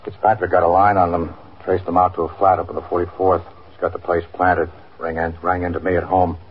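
An actor playing a no-nonsense police lieutenant in a 1950s radio drama, terse and businesslike. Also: male voice, deep voice, level tone, brisk, slight background noise, close to an everyday voice. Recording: dull, lo-fi 1950s radio recording.

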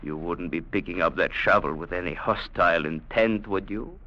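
Brutal, sharp-voiced captain, old radio. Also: male voice, low voice, normal speed. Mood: menacing. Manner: barks orders, sneering threats. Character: brutal, bullying trading-post captain, menacing and arrogant. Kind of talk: 1950s radio drama acting. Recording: dull vintage radio broadcast.

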